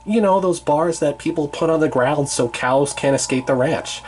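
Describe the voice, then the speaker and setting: A man's voice: a little high, normal speed, a little mellow, slight background noise, voice pushed up, close to an everyday voice. A man voicing a fussy, chatty young satyr boy.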